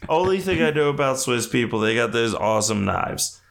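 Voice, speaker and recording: A man's voice: mid-range voice, animated, brisk, creaky, a bit bright. A man co-hosting a podcast, bantering casually in a joking, very informal way and swearing freely. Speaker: podcast co-host.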